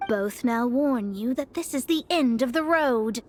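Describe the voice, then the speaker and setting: Proud, high-voiced young astrologer mage. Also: female voice, animated, unhurried, darkish tone, faint music behind. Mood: ominous, solemn. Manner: haughty, dramatic, huffy. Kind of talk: video game voice acting.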